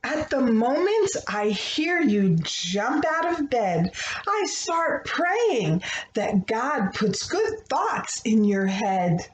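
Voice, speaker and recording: A woman reading a rhyming children's picture book aloud, with expressive, deliberate phrasing meant for young listeners. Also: on the high side, muffled recording. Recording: roomy echo, faint background noise.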